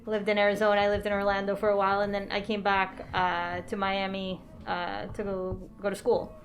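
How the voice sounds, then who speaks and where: A woman's voice: mid-range voice, monotone, brisk. A woman chocolate maker being interviewed on a podcast, easygoing and polite, with dry humor. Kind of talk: casual interview chat.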